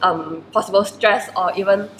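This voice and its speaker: A woman's voice: mid-range voice, steady tone, moderate pace, a bit bright. A woman in her twenties whose first language is Chinese, speaking Malaysian English, answering interview questions in a reflective way and filling her sentences with "like". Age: 20s. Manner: reflective, many "like" fillers. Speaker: university student guest. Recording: roomy echo with faint noise.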